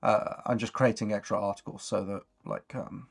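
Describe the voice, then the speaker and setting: A man's voice: fairly low pitch, fairly even intonation, moderate pace, creaky, husky. A man chatting casually and encouragingly as he hosts a worldbuilding livestream. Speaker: stream host.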